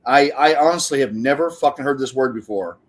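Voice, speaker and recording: A man's voice: mid-range voice, brisk. A man hosting a casual online chat, blunt and argumentative, swearing freely and talking over the others.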